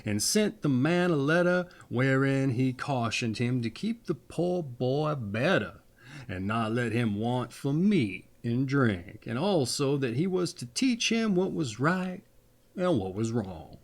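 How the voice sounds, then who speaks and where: A man reading a fairy tale aloud as a storyteller, in a theatrical, deliberate way. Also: mid-range voice, big pitch swings.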